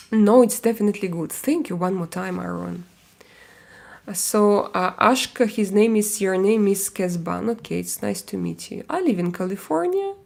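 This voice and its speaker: A woman from Russia speaking English with a Russian accent, hosting a casual live stream and chatting with viewers, hesitant and full of pauses and fillers. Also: mid-range voice, creaky, a little mellow. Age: adult with young kids. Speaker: livestream host.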